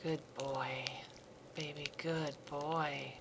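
A woman in an intimate audio roleplay, speaking in a soft, coaxing way that often drops to a whisper. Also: low voice, level tone, drawn-out words, background noise.

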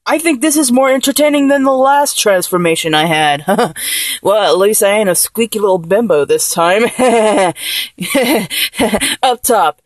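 A freshly transformed young man, voiced by a solo performer, rambles in a slow, stammering way and keeps breaking into giggles. His voice is mid-pitched and unhurried. The recording is dry and close. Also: expressive, dry recording. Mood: cocky. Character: man just transformed from a woman, giddy, awkward. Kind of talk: solo audio roleplay.

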